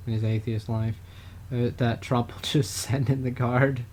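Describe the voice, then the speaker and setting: A man's voice: mid-range voice, level tone, unhurried, darkish tone, a little hiss or hum. A man co-hosting a political talk podcast, chatting casually and mocking opponents in a raised voice. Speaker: podcast co-host. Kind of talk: political talk show chat.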